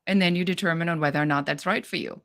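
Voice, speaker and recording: Brief: middle-aged woman, German accent, blunt straight-shooting business coach, low-pitched delivery. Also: rapid-fire. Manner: direct, no-nonsense, animated. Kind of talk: podcast interview.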